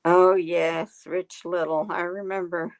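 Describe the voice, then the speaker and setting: A woman chats with her viewers in a slow, unhurried way. Her voice has a gravelly, creaky edge, and it is turning hoarse. Her manner is folksy and friendly. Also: lowish voice, flat delivery. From Texas. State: getting hoarse. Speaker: livestream host. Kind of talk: casual chat reading viewer comments.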